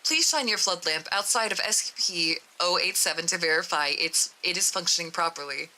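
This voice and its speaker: A woman voicing a calm, insistent research doctor in a horror story read-aloud, formal and detached. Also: on the low side, normal speed, pitched below the performer's own voice, rough recording.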